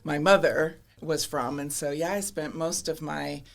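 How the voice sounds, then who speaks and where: A woman's voice: low-pitched, steady tone, a little slow, creaky. Candid middle-aged American woman in conversation. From Midwestern United States (Minnesota, Iowa). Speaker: podcast guest, government commissioner. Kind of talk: conversational interview.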